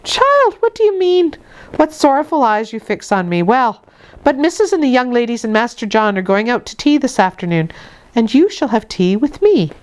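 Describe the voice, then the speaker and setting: Storybook nursemaid, young and female played by a woman narrator: high, clear, brisk, chiding yet kindly. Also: very animated.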